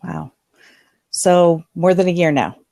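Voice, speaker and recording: A woman's voice: on the low side, slow, creaky, dark tone, dry recording, studio quality. A woman hosting a live online talk show, chatty and enthusiastic, speaking on a video call with headphones. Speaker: host.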